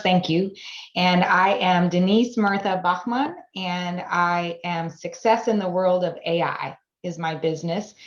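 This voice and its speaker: A woman in her forties, a business founder who learned German as a first language, answering interview questions in an earnest way, building her points through repeated phrases. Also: lowish voice. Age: 40s. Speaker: guest, AI education business founder. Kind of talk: interview conversation. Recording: echoey room, dull lo-fi sound.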